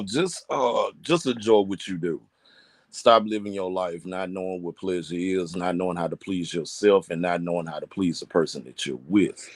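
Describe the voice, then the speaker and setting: A man's voice: low voice, dry recording. A man co-hosting a casual podcast, giving blunt, slangy advice and cursing freely. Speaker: podcast co-host.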